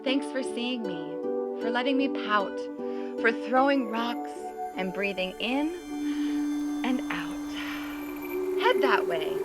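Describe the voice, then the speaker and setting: A woman's voice: mid-range voice, close to an everyday voice. A woman voicing a protective, grateful creature of anger in a children's storybook, speaking earnestly and directly. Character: Mad, a protective, grateful angry-feeling creature. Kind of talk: children's storybook read-aloud. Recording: prominent music under the voice.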